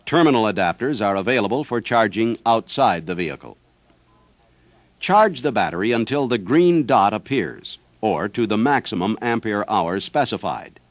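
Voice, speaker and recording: A man's voice: lowish voice, animated, moderate pace, no echo. An American man reads a scripted instructional narration for a service training film in a methodical manner. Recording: dull, dry 1970s training film soundtrack.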